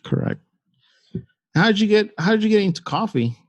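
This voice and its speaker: A man's voice: sits high, lively intonation, moderate pace. A man hosting a casual interview, prompting his guest with short friendly questions. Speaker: podcast host. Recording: dull, dry close sound.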